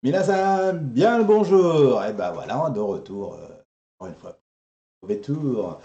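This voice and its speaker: A male live-stream host chats in a loose, informal style with a joking streak. His phrasing is halting and conversational, and the sound is noticeably roomy and echoing. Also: mid-range voice, sing-song, mellow, lo-fi sound. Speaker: host of an online anime and geek talk show. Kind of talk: casual live-stream chat.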